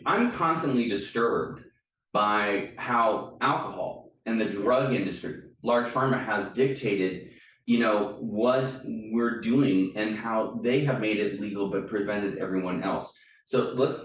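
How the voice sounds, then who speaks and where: Low-voiced podcast host, rambling. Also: male voice, expressive, a little slow. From California. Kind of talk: casual interview. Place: hotel room. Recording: echoey room, distant mic, faint noise.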